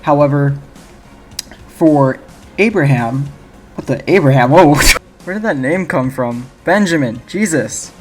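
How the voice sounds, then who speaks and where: A man's voice: a little high, expressive, measured pace. A man giving casual, rambling video essay commentary on a video game, speaking informally and correcting himself as he goes. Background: game music.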